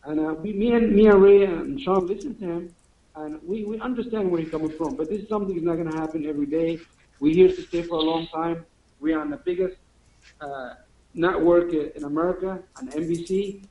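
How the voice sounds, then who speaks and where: A man's voice: on the high side, fairly quick, dark tone. Echoey phone-line man. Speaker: fight promotion executive. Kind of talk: media conference call.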